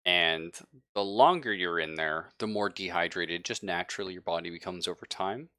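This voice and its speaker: A man's voice: low-pitched, moderate pace, pushed and bright, clear, resonant tone, no room sound, clean studio sound. A man speaking as game master, improvising narration of a tabletop game in an informal, explanatory way.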